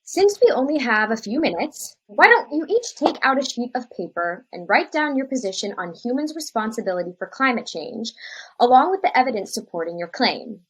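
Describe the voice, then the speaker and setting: A woman acts out a young female science teacher in an educational drama. Her voice is husky and swings up and down expressively. She stays patient and diplomatic as she instructs. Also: a little high, lively intonation, fairly quick, low-quality recording. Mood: calm, instructive.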